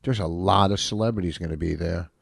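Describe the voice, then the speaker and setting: A middle-aged man, a comedian hosting a podcast, chatting in an animated, jokey, rambling way. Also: low-pitched, brisk, vocal fry, no echo, studio quality. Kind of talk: casual chat.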